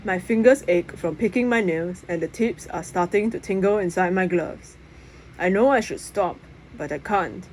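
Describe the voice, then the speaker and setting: A woman's voice: fairly low pitch, moderate pace, a little mellow, low-quality recording. Woman reading a children's novel aloud. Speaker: audiobook reader. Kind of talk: first-person children's novel narration. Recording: faint background noise.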